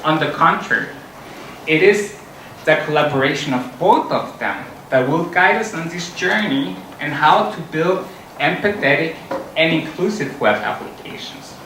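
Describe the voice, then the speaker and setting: A man's voice: a little high, lively intonation, normal speed, bright, forceful tone, slight background noise. A middle-aged man from Costa Rica giving an earnest conference talk, with frequent fillers. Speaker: conference speaker. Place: large conference hall. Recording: echoey hall from far off, music.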